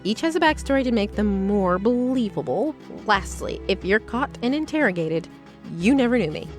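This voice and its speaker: A woman's voice: medium pitch, animated, moderate pace, faint music behind, close to an everyday voice. An actress playing a shrewd, determined female lab assistant in a fantasy audio drama, assertive, persuasive and businesslike. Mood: cool, businesslike. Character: shrewd, determined woman lab assistant, street-smart schemer.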